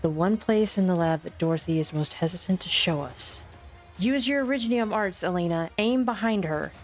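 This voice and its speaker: Casting: actress as cool-headed female investigator, game fan dub, lowish voice, probing and direct. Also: normal speed, soft background music, close to an everyday voice. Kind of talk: amateur fan dub game drama acting.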